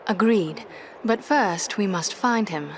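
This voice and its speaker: A woman's voice: medium pitch, slow pace, slight background noise, close to an everyday voice. An actress playing a gracious, brave elf woman in a fantasy game, with formal, poised phrasing. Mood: grave.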